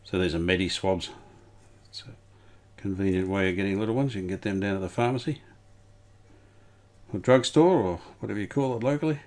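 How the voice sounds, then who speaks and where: Male repair vlogger, low pitch, casual and unscripted, plain matter-of-fact delivery. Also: a little fast, long pauses, darkish tone. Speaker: restorer presenting a hands-on vintage radio repair. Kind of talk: casual workbench commentary.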